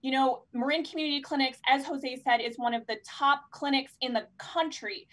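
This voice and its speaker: A woman's voice: high voice, steady tone, moderate pace, a bit bright. A young woman hosting a live fundraiser over video call, effusively thanking donors by turn. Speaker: fundraising host. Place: indoors, plain room at home. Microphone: handheld mic on video call.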